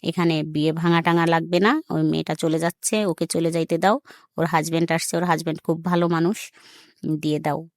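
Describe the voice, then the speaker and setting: A woman's voice: deep voice, fairly even intonation, brisk, no room sound. A middle-aged woman with a regional Bangladeshi accent, telling her own story informally and colloquially as a studio guest, often quoting dialogue. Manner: plain colloquial telling, quotes reported dialogue. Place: radio studio.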